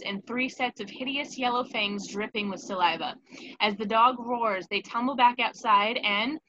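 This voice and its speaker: A woman reads out the scene directions of a script. Her voice is crisp-edged and on the high side, recorded with slight noise in the background. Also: pushed and bright, muffled recording. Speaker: narrator reading stage directions. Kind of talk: scripted narration in a table read.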